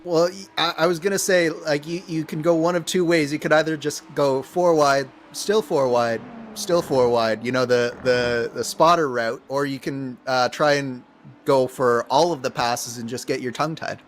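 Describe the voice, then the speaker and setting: A man's voice: on the high side, steady tone, normal speed, slight background noise. A man co-commentating a sim race, explaining driving in a hesitant, thoughtful way. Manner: hesitant, thoughtful, many restarts. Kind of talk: live race commentary chat.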